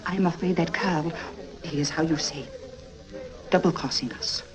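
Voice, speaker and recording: A woman's voice: sits low, a crowd in the background, natural voice. A woman playing a shrewd, secretive con artist with a Central European accent, speaking in careful phrases in 1950s radio drama style. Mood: confiding. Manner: deliberate, careful foreign phrasing.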